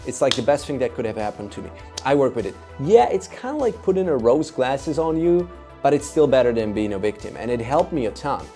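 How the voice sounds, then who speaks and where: Enthusiastic Czech-accented man, mellow voice. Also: medium pitch, a little fast, soft background music. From Czech Republic. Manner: energetic, enthusiastic, rambling. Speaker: psychologist and YouTuber. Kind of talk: casual talk to camera.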